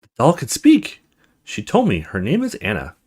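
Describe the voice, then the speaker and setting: An earnest little girl from a horror visual novel confides in her mother, read out by a man. The delivery is childlike and animated, with the pitch often lifting. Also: moderate pace, breathy edge. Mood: excited, secretive.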